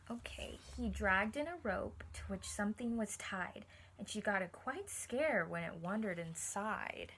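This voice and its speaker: A woman teacher reading a picture book to children, encouraging them and pausing to explain things simply and ask questions. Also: mid-range voice, expressive, a bit bright, a little hiss or hum, low-quality recording. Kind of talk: read-aloud lesson with questions to young listeners.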